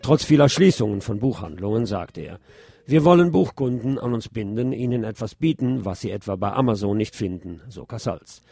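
A middle-aged man hosting a radio show, reading news commentary in a measured, opinionated way. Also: on the low side, lively intonation, creaky, warm tone, breathy edge, no room sound. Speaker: radio host.

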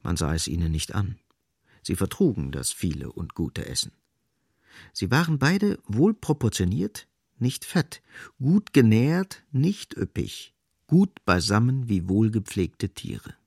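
A man tells a literary story as radio drama narrator. His voice is very low and husky, recorded dry. He phrases deliberately and with measured emphasis. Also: huge pitch swings, a little mellow, dry recording.

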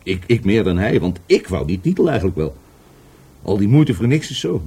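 An actor playing an evasive, volatile middle-aged businessman questioned by police in a radio play, husky, stammering and repeating his words. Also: on the low side, big pitch swings, moderate pace, mellow, heavily acted. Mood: reminiscing. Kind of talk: Dutch radio play acting.

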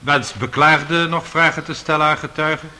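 An actor playing a formal, authoritative presiding judge in a radio courtroom drama. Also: male voice, on the high side, fairly even intonation, moderate pace, pushed and bright. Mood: formal, brisk. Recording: noisy old radio play recording.

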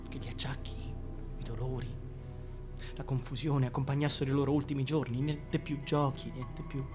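An actor playing a brooding, murderous king in a theatrical monologue, speaking quietly and deliberately in broken phrases. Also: mid-range voice, fairly even intonation, over music, lightly acted. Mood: brooding, self-justifying. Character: brooding murderous king coldly justifying killing children.

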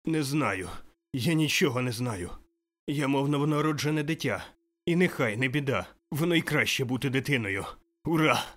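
A man's voice: medium pitch, sing-song, a little fast, voice pushed up. A man voicing an old miser turned overjoyed and giddy, exclaiming breathlessly in an audiobook. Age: older. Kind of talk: audiobook character voice.

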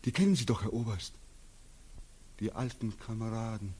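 A man's voice: on the low side, normal speed. An actor playing a tormented returning soldier in a 1940s radio drama, theatrical and obsessive as he recounts a nightmare. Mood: haunted, brooding. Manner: theatrical, obsessive, swinging from hushed murmurs to frenzied cries. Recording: muffled, husky 1940s radio recording.

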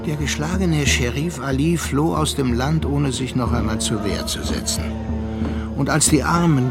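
An actor playing a reflective narrator who recounts an adventure in a literary, expressive radio drama style. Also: male voice, medium pitch, natural voice. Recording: music behind the voice.

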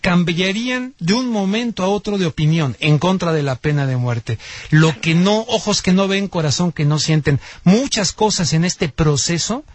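Radio host, dry studio sound. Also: male voice, sits high, moderate pace, no room sound. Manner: conversational, interjecting, uses "este". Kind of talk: radio interview.

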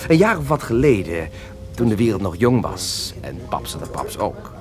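Cocky, dreamy young beatnik poet, lively delivery. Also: male voice, lowish voice, normal speed, over music. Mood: provocative. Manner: theatrical, mocking, languid drawl with sudden outbursts. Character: cocky, lovesick, marijuana-smoking self-styled beatnik poet, young man. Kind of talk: radio play acting.

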